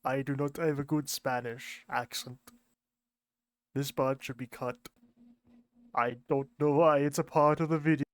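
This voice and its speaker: A man's voice: medium pitch, steady tone, measured pace, long pauses, clear, resonant tone, dry recording. A man narrating a lore explainer video in a casual, rambling way with offhand asides.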